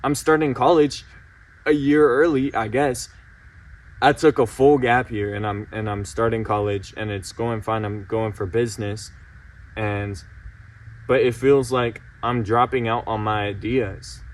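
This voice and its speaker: A man's voice: medium pitch, steady tone, unhurried, far from the mic. A young man about to turn eighteen, venting his frustration in a heated, insistent way and repeating himself. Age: late teens. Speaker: vlogger. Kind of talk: emotional personal vent to camera.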